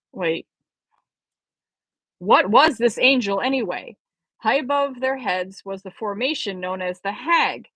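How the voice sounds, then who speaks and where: A woman's voice: fairly high pitch, fairly bright tone, dry recording, rough recording. Woman reading aloud, dry close sound. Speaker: amateur audiobook reader. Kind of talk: expressive story read-aloud.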